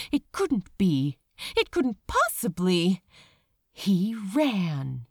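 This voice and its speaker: A woman narrating a story from a script, with expressive, dramatic phrasing and deliberate pauses. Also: on the high side, no echo, clean studio sound. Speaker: audiobook-style reader of fan fiction.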